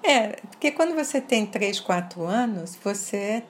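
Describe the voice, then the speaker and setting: A woman from France speaks slowly and deliberately, with a slight French accent. She sets off single words and checks in with the listener as she explains. Faint noise sits under the recording. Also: mid-range voice, expressive. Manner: measured, stresses single words, often ends with "tá?". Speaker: interview guest. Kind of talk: casual interview conversation.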